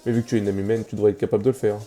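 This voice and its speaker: Man acting a very dark-voiced, deadpan monster schemer. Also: lowish voice, rapid-fire, soft background music, close to an everyday voice. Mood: dismissive. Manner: deadpan, casual, menacing. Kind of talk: fan comic dub acting.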